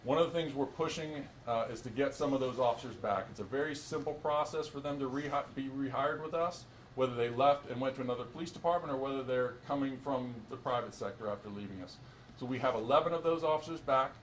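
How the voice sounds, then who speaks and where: Male police chief at a press briefing, mid-pitched, measured delivery with restarts, faint noise behind. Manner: earnest, measured, with frequent restarts and fillers.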